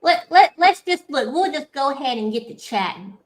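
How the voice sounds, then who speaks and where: A woman's voice: high-pitched, moderate pace. A woman in her forties hosting a casual online talk show from home over a video call, playful and chatty. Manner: lively, playful, Southern-style "girl" and "y'all" banter. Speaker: podcast host. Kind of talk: casual livestream chat.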